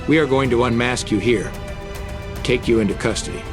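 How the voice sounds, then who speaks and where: An actor playing a resolute, indignant crime fighter in a motion comic dub, speaking in a serious, insistent way. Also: male voice, medium pitch, brisk, lightly acted. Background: dramatic music.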